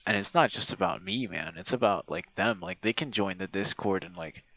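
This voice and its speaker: A man voicing an old gamer buddy, lonely and self-effacing, in a halting, sweary, casual voice message. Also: deep voice, sing-song, vocal fry, sharp tone, exaggerated voice, rough recording. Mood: rambling, earnest. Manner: rambling, halting, frequent swearing. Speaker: audio roleplay performer. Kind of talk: intimate ASMR roleplay voice message, rambling casual talk. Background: night crickets and insects.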